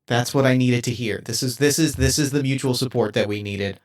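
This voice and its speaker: A man's voice: mid-range voice, lightly acted. A man voicing an earnest male assassin robot in a tabletop game, speaking formally and carefully with a lot of hesitation. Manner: formal, careful, halting speech.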